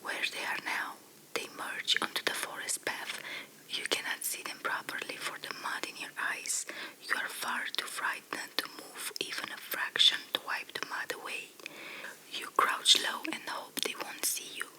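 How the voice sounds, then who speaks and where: A woman whispering a second-person fairy tale slowly and softly, in the style of ASMR storytelling. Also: poor audio. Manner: soft, slow, hushed whisper.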